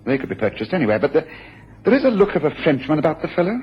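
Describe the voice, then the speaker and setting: A man playing a brisk, observant, commanding gentleman detective in a radio drama, speaking in an analytical, precise way. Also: on the high side, very animated, breathy edge, full character voice. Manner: analytical, precise, deliberate, authoritative. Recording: muffled, faintly noisy radio drama recording.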